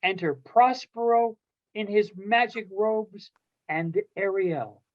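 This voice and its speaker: A woman's voice: mid-range voice, takes it slowly. A woman hosting an online play reading, introducing participants and scenes in a courteous, theatrical manner over dull call audio.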